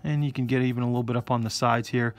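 A man hosting a casual scale-model painting tutorial, explaining each step in a relaxed way. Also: mid-range voice, rapid-fire. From United States. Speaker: hobby shop host. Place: hobby workbench indoors.

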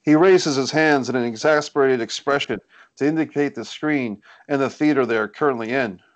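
A man's voice: medium pitch, a little fast, poor audio. A man reading scripted stage directions as narrator of a screenplay table read. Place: indoors on a group video call.

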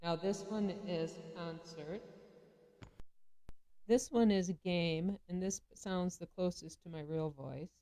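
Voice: medium pitch, slow, mellow. A person giving a casual product demonstration, talking through one item after another. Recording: echoey hall-like reverb, some background noise.

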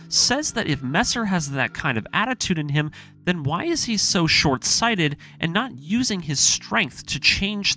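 A man reading a scripted story recap as narrator, telling it in an animated, present-tense storytelling manner. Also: fairly high pitch.

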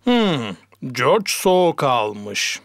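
A man voicing a kindly, calm bear doctor in a children's cartoon dub, reassuring and unhurried. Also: medium pitch, vocal fry, no echo, exaggerated voice.

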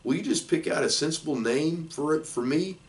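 Storybook baby spider, female, friendly and eager, lifted pitch performed by an older man, slightly off-mic. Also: moderate pace, slight background noise, lightly acted. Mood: curious, friendly. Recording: distant microphone in a room.

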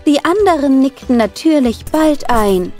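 A woman's voice: high voice, expressive, unhurried, darkish tone. A woman narrating a scripted children's cartoon story. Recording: music behind the narration.

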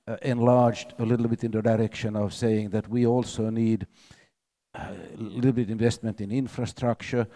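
A man's voice: mid-range voice, dark tone. An older man from the Nordic countries speaking on a panel, hesitant with many fillers. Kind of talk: panel discussion. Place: stage in a large event hall.

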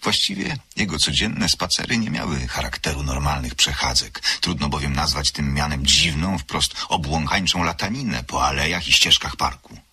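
A man narrating a radio drama in a measured, literary way. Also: very deep voice, lively intonation, sharp tone, husky.

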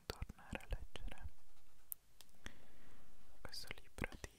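A man hosting ASMR in a gentle, breathy whisper, lingering on words with halting pauses, close to the microphone. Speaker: ASMR channel host. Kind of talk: whispered ASMR, introducing a poetry reading.

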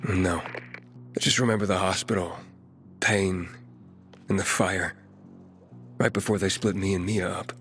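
A man's voice: deep voice, fairly even intonation, a little slow, pauses often, fairly bright tone, soft background music. A man voicing a guarded, focused young survivor in a video game, speaking tersely. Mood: subdued.

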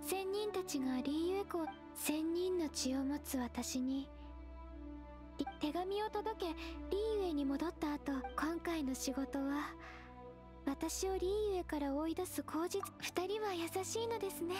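A woman voicing a gentle, modest young half-immortal secretary in an anime game, polite, soft-spoken and hesitant. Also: very high voice, measured pace. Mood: melancholy. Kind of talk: Japanese anime game voice acting. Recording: music behind.

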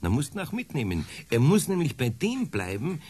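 An actor playing a kindly older master carpenter in a radio play, with a Bavarian accent and a homely, gently teasing manner. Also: male voice, a little high, wildly swooping pitch, brisk, husky, heavily acted. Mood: amused, teasing. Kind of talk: natural radio play acting.

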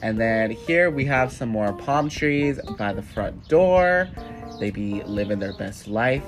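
A man's voice: lowish voice, slow, faint music behind. Congested young man chatting about plants. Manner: chatty, upbeat, relaxed. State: congested, nasal from a cold. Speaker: plant vlogger. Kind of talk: casual plant tour voice-over. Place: indoor room with plants.

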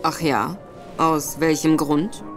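A cool, cutting young woman in disguise for an audio drama. Her voice sits low for a woman, and she speaks in a sharp, mocking way. A score plays clearly beneath her. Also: flat delivery, slow pace, faint music behind. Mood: cool, probing. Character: clever young woman actress, disguised as a gentleman. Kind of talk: German audio drama acting. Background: background score.

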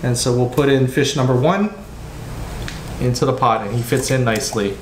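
A man's voice: medium pitch, moderate pace. A man, giving a casual cooking demonstration in a home kitchen. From Hawaii, US. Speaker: home cook demonstrating a recipe. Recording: roomy kitchen echo with background noise.

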